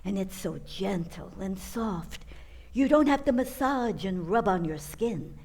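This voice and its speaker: A woman reading a scripted commercial in a 1940s radio style, measured and persuasive. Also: mid-range voice, lively intonation, a little mellow. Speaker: advertisement announcer.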